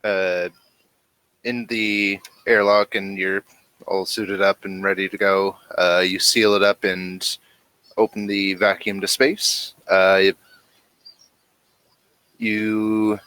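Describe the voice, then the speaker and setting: A man with a low voice leads a tabletop role-playing game. He speaks slowly and haltingly, often searching for the right word, and the sound is dry and close. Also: level tone, pushed and bright, clean tone, dry recording, low-quality recording. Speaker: game master.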